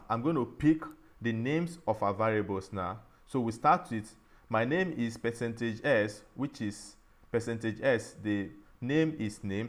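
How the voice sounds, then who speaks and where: A man teaching a programming tutorial, explaining each step slowly and often restarting and saying "all right". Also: on the low side. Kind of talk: screen-recorded coding tutorial.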